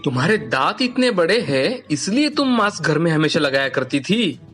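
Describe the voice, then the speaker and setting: A man's voice: fairly high pitch, sing-song, moderate pace, a bit bright, slightly rough, faint music behind, full character voice. A man playing a young husband and dutiful son in a storybook tale. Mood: angry. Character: young husband, obedient son who turns harsh.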